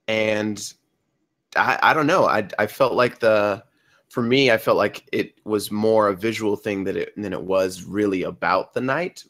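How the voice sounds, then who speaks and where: A man giving casual feedback in a rambling, halting way. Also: deep voice, fairly bright tone. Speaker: table read narrator giving feedback.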